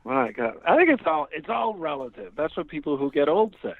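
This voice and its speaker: A man's voice: on the high side, animated, a little fast. Wry man in his fifties on a phone line. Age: 50s. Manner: wry, self-mocking, laughing easily. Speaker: guest actor. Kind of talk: casual podcast chat by phone.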